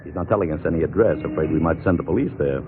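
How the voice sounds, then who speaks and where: Brisk, deep-voiced radio sleuth husband. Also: male voice, over music. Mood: matter-of-fact. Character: practical, dry-witted husband turned amateur sleuth. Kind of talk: 1940s radio drama acting. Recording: noisy, narrow-band 1940s radio recording.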